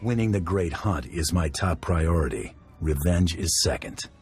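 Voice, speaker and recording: A hard-bitten bounty hunter drawls short, dry comebacks in a game scene. The voice sits extremely low and cocky. Faint music runs underneath. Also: male voice, expressive, unhurried, darkish tone, breathy edge, heavily acted. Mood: deadpan. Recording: music behind.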